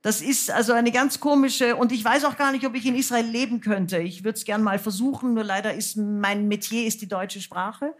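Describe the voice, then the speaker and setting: A woman's voice: a little high, moderate pace, few pauses. Frank, wry woman guest on stage. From United States. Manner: lively, frank, self-mocking, many fillers. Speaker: guest singer and author. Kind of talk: stage talk before a live audience. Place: cultural centre stage with audience.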